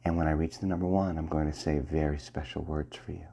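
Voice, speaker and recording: A man giving a slow, soft and commanding hypnosis roleplay in the ASMR style, often dropping to a half-whisper. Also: rumbling low, fairly even intonation, vocal fry, breathy edge.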